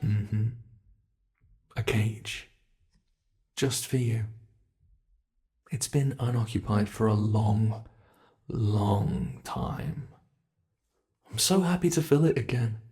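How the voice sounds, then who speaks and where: A British man voicing an ancient male monster in an ASMR horror roleplay, speaking with soft, mockingly polite menace. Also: fairly low pitch. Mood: possessive menace. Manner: slow, soft-spoken, teasing menace.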